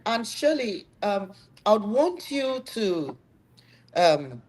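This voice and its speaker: A woman hosts a conversation, talking slowly and deliberately. Her delivery is measured and motivational. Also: medium pitch, very animated, darkish tone, rough recording. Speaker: host. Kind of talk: conversational live-stream talk.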